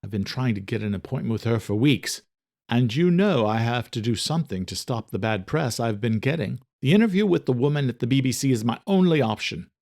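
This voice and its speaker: A man voicing a formal, troubled geneticist doctor in an audiobook, with measured, clipped speech. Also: medium pitch, lively intonation, a little mellow, close to an everyday voice. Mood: familiar, weary.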